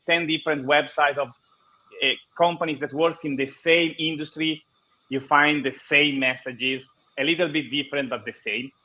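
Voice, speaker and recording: A man's voice: fairly high pitch, pushed and bright, husky. Italian-accented man, muffled call audio. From Italy. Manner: halting non-native English, many restarts, explanatory. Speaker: guest speaker. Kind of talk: online conference interview.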